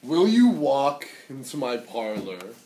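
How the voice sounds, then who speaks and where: Male reader as a cunning spider, oily, coaxing, slow and drawn-out, storybook read-aloud, echoey room. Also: mid-range voice, big pitch swings, warm tone. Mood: inviting. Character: cunning, sly male spider, oily flatterer luring prey. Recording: roomy, distant mic, faint noise.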